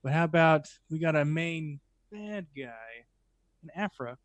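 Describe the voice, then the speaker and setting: Guy on a podcast panel, kinda high voice, dry close mic, casual. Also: animated, a little slow, dry recording. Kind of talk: casual chat. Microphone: close microphone.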